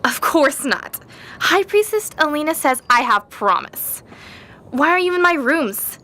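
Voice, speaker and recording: Earnest, headstrong young female sorceress played by an actress for audio drama; high, keen-edged voice. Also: very animated, measured pace, bright, forceful tone, exaggerated voice. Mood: indignant.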